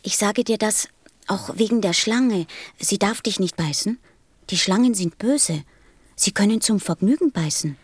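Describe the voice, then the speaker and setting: Radio play role: gentle, wistful small boy, hushed breathy delivery, very dry sound. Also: mid-range voice, lively intonation, unhurried, no room sound. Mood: anxious, pleading. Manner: tender, solemn, simply spoken. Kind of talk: German radio play acting.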